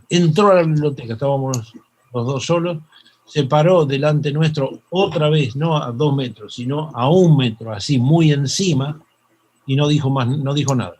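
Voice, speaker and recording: An older Argentine man with a Rioplatense accent, a psychoanalyst telling stories in an interview, halting with many fillers and restarts. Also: mid-range voice, a little mellow, low-quality recording. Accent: Rioplatense Spanish. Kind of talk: reflective interview storytelling.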